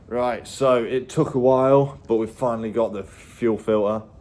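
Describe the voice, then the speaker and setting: A man with a slight cold talking through a car repair in a halting, self-correcting way as he works. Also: medium pitch, steady tone, darkish tone. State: has a bit of a cold. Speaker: home mechanic. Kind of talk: casual step-by-step repair commentary.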